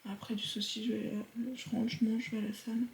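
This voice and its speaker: A woman's voice: fairly high pitch, level tone, quick pace, gravelly, rough recording. A woman in her twenties chatting casually to her viewers in a slangy vlog style. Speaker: vlogger, university student. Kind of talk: casual vlog chat to camera.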